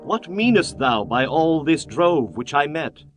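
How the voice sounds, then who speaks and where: A man's voice: fairly high pitch, big pitch swings, measured pace, pitched above the performer's own voice. A man voicing Esau, a blunt older brother, in an audio drama with music. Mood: brusque.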